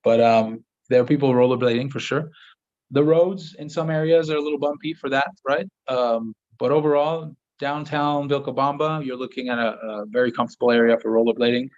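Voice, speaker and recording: A man whose first language is French, hosting a casual live-stream Q&A and answering viewers' questions in a relaxed, rambling way with many fillers. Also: medium pitch, fairly quick, lo-fi sound. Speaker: host of a live Q&A. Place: home office in Southern Ecuador.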